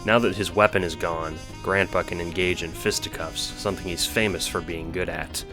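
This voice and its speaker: A man's voice: deep voice, fairly even intonation, moderate pace, over music, rough recording. A man reading scripted, dramatic second-person narration as the narrator of an audio drama.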